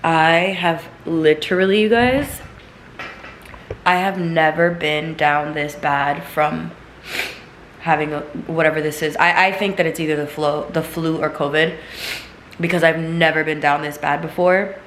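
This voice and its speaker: Young female vlogger, low pitch, stuffy congested voice, chatty and loose, in an echoey room with background noise. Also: fairly even intonation, a little slow, fairly bright tone. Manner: chatty, filler-heavy, talks straight to viewers. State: congested, recovering from illness. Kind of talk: casual chatty vlog. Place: home kitchen, then seated at home.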